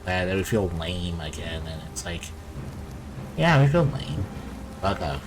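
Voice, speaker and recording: A man's voice: deep voice, big pitch swings, measured pace, music in the background. A man giving casual, offhand gameplay commentary, muttering and swearing as he goes. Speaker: gameplay video creator.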